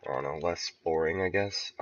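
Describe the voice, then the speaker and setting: A man casually talking through his level building on a stream, relaxed and thinking aloud with soft chuckles. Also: sits low, normal speed, no echo, dull audio. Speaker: game level creator streaming. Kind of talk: casual commentary while building.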